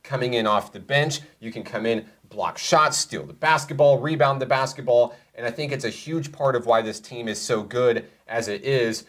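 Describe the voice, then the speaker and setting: A man's voice: medium pitch, normal speed, hardly any pauses, fairly bright tone. A man hosting a college sports broadcast, interviewing a player with a polished announcer delivery.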